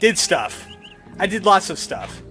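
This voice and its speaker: Lively high-pitched man commentating a game. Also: unhurried, over music. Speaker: let's player.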